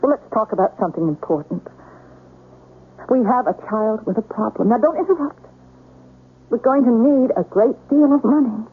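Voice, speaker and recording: A woman playing a forceful, fiercely protective mother and wife in a radio drama, insistent and sharp-tongued. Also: a little high, normal speed, long pauses, husky. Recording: tinny, hissy old radio broadcast.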